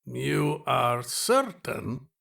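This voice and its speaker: Creature role: towering reptilian nobleman, very gravelly, slow and swooping, courtly with quiet menace, space audio drama. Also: mid-range voice, sing-song, exaggerated voice. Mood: shocked concern. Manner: formal, courtly, deliberate, theatrical. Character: towering male reptilian alien aristocrat, genteel, sinister hunting host.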